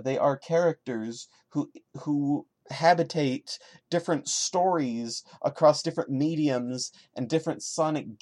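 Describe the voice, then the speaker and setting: A man's voice: on the high side, warm tone, no room sound, clean studio sound. A man hosting a podcast, reading a horror story dramatically with sarcastic, mocking asides. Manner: theatrical, mocking. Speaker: podcast host reading and commenting.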